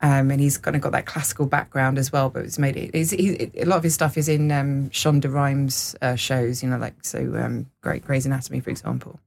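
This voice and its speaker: Gravelly singer telling rambling anecdotes. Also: medium pitch, level tone, fairly quick, hardly any pauses. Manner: rambling, warm anecdotes with frequent laughs and fillers. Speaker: singer and music teacher. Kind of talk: casual radio interview.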